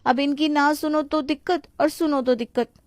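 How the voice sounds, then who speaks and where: A woman's voice: high-pitched, steady tone, moderate pace, darkish tone, dry recording, studio quality, voice pushed up, natural voice. A woman voicing a young daughter-in-law in a moral story, dutiful and polite but exasperated and complaining.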